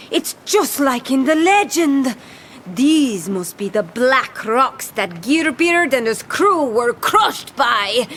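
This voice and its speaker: Swaggering, high-voiced cartoon pirate captain. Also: female voice, a bit bright, slight background noise, full character voice. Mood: reverent. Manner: commanding, swaggering, theatrical. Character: bold, impatient, treasure-hungry female pirate captain. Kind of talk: cartoon pirate acting with seafaring slang.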